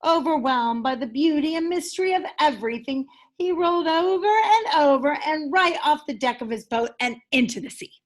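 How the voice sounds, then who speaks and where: High-voiced woman reading a children's story expressively. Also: normal speed, hardly any pauses. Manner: enthusiastic, animated storytelling with dramatic emphasis. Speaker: teacher reading a picture book aloud.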